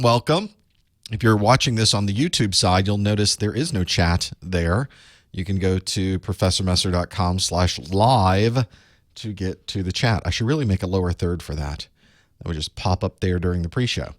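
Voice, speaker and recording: A man from the United States with a chest cold that makes his voice a bit raspy, chatting casually with viewers as the host of a live study group. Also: low-pitched, no room sound, studio quality. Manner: chatty, rambling, self-deprecating asides. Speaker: online course instructor hosting live study group. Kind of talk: casual live-stream chat.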